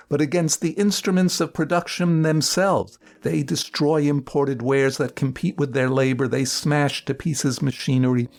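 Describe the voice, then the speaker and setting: A man giving a dramatic reading of a political text in a measured, declamatory way, with emphatic phrasing and deliberate pauses. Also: medium pitch, darkish tone. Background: music.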